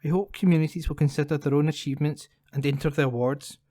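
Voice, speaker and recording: A man's voice: fairly high pitch, steady tone, quick pace, mellow, dry recording, studio quality. A man reading scripted local news as a volunteer for an audio newspaper. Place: at home, dry room.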